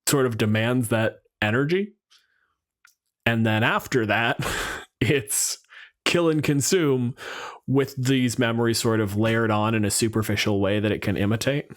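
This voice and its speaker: A man's voice: mid-range voice, a little slow. A man hosting a podcast in a casual, joking discussion style, thinking aloud and arguing his points with some swearing. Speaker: podcast host.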